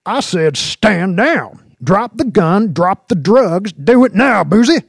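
Here is a man performing a brash, aggressive cop from an audiobook thriller. He barks his commands loudly in a raised pitch, bossy and forceful in manner, with a dry close sound. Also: expressive, moderate pace, dry recording. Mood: angry, yelling. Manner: shouting, commanding. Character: big brash armed male cop, aggressive, barking orders.

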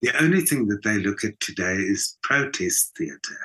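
A middle-aged South African man with a husky voice, talking reflectively in an interview, emphatic, with halting repeats and pauses. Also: lowish voice, animated, sharp tone. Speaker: actor and singer being interviewed.

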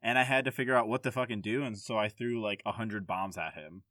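A man's voice: lowish voice, fast talker, bright, forceful tone, no echo, studio quality. A man hosting a casual video game podcast, talking informally with swearing and easy laughter. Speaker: podcast host.

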